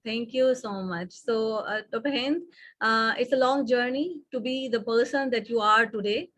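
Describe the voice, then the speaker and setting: A woman hosting an interview over a video call, speaking in a halting way and searching for her words. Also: on the high side, fairly bright tone, dry recording. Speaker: host.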